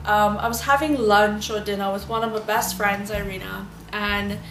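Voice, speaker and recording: A woman's voice: mid-range voice, steady tone, normal speed, a bit bright, slight background noise. Chatty Trinidadian woman vlogger, echoey room. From Trinidad and Tobago. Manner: chatty, rambling, many fillers. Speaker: beauty vlogger. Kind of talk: casual storytelling vlog.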